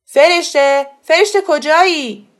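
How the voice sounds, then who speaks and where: A woman's voice: high voice, pitched above the performer's own voice, close to an everyday voice. A woman voicing a brusque, impatient young man in an audiobook story. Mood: demanding, loud.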